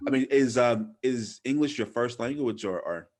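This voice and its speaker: A man's voice: mid-range voice, normal speed, a little mellow. A man in his thirties from Louisiana hosting a casual interview in an informal, slangy, effusive way. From Louisiana, United States. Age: 30s. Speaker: podcast host, former DJ.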